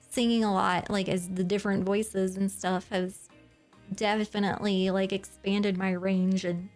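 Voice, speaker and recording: A woman streamer chatting casually with her viewers, giggly and rambling. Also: mid-range voice.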